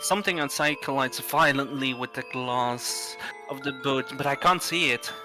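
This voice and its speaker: A man narrating a horror game on a livestream, reading aloud deliberately with pauses. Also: medium pitch, music in the background. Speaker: streamer reading a visual novel aloud.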